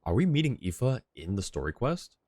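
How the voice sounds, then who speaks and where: A man's voice: medium pitch, sing-song, moderate pace, mellow. A man livestreaming a game, giving casual commentary as he plays. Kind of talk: casual game stream commentary, reading dialogue along.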